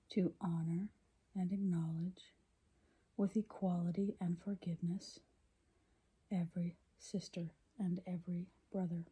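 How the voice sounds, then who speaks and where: A woman reading poetry in a gentle, deliberate, breathy ASMR whisper. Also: lowish voice. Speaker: poetry reader.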